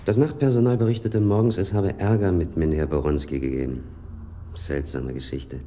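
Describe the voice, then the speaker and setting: An actor playing a polite, precise and exasperated hotel manager in a German radio crime drama, courteous yet disdainful. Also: male voice, rumbling low, dark tone, slight background noise. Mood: precise, gossipy.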